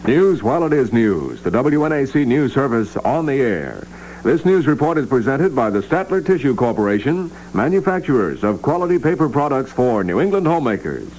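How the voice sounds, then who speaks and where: A man's voice: medium pitch, sing-song, a little fast, darkish tone, poor audio. A man reading the news in a formal 1960s radio style. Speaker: newscaster. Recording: faint hum, vintage radio.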